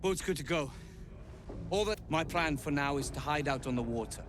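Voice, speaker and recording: An actor playing a practical, matter-of-fact adventuring companion in a video game. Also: male voice, a little high, animated, moderate pace, background noise, close to an everyday voice. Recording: game audio with clear music.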